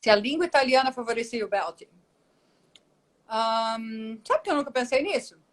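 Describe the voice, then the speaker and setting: A woman's voice: fairly high pitch, animated, normal speed. Woman explaining voice technique. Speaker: guest voice teacher. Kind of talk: live online interview.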